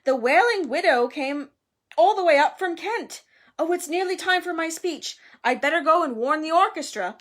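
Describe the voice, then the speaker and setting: A woman acts out a proud male ghost from a storybook. He speaks stiffly and formally, touchy and easily embittered, with lively movement in pitch. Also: slow pace, hardly any pauses, full character voice.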